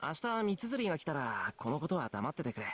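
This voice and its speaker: A man's voice: on the high side, big pitch swings, rapid-fire, fairly bright tone, dry recording. A man voicing an earnest, blunt teenage schoolboy in a visual novel game. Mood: casual, concerned. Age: young adult.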